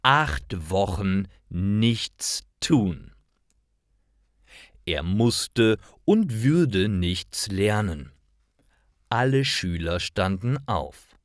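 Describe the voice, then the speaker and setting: Slow, lively low-pitched male storyteller. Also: no echo, studio quality. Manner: slow, careful, expressive enunciation. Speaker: narrator of stories for German learners. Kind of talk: scripted storytelling for language learners.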